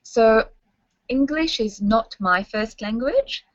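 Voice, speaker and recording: A woman's voice: on the high side, expressive, takes it slowly. A young woman guides students through a webinar, friendly and instructive, often checking they follow along. The sound is dry with no room echo, and slightly muffled and dull. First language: French. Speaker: university staff presenter. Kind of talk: webinar presentation.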